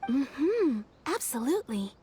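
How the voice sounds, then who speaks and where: An actress playing a polite, obliging clothing shopkeeper in a video game, with a chatty manner. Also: female voice, high voice, sing-song, unhurried, warm tone. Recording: music behind the voice.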